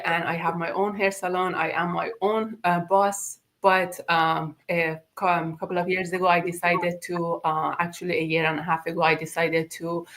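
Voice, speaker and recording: Woman, 40s, from Iran; lower voice; polite, measured panel intro. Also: a bit bright. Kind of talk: live online panel chat.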